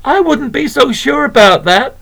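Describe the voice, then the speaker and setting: A man's voice: very high voice, expressive, moderate pace, gravelly, a bit bright, pitched above the performer's own voice, exaggerated voice. A man putting on a cosy, beaming shopkeeper woman. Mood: kindly. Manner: homely, chatty, motherly.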